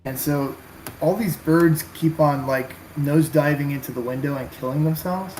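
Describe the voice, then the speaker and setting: A man's voice: fairly high pitch, moderate pace, a little mellow, a little hiss or hum, poor audio. A man talking casually about wargaming while he paints, rambling and hesitant, with many fillers. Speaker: wargaming hobbyist.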